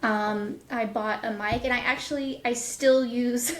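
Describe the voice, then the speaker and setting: A woman in her thirties answering casually as an interview guest, explaining things in a thoughtful, halting way. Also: on the high side, steady tone. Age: 30s. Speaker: guest, voice actor. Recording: echoey, roomy sound.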